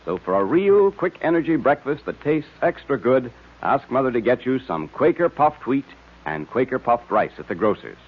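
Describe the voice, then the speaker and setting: Animated, husky old-time radio announcer man. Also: medium pitch, normal speed. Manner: emphatic, salesmanlike. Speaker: announcer and narrator. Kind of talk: 1940s radio sponsor pitch and serial narration. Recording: tinny old radio recording.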